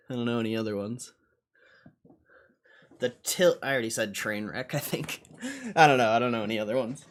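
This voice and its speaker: A man with a mid-range voice talks slowly as he thinks aloud on a livestream. His delivery is casual and offhand, full of slang and blunt swearing. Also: mid-range voice, fairly bright tone. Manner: foul-mouthed, blunt. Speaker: stock market livestreamer.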